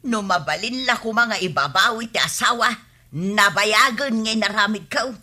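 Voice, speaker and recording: A woman's voice: mid-range voice, normal speed, pushed and bright. A woman playing a long-suffering village wife in an Ilocano comedy radio drama, plaintive and pleading.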